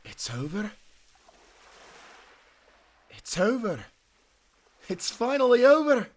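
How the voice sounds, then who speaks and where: An actor playing a devoted, seasoned old sailor in an audio drama, speaking as an earnest storyteller with old seafaring turns of phrase. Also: male voice, extremely high pitch, very animated, drawn-out words, pauses often, full character voice. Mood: elated relief. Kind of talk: cinematic audio drama acting.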